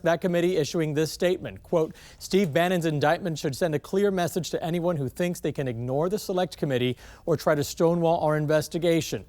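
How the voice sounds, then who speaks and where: A man's voice: fairly high pitch, a little mellow. An American man reading scripted news as an anchor. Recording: music bed under the voice.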